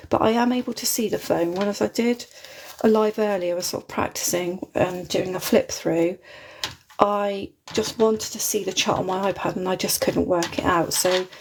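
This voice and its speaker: A woman's voice: medium pitch, normal speed, a little mellow, slight background noise. A woman with a British accent, not a London one, chatting casually and rambling as she hosts a live crafting stream at her desk. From Britain. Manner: chatty, rambling, chuckles often. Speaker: craft livestream host. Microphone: phone mounted overhead.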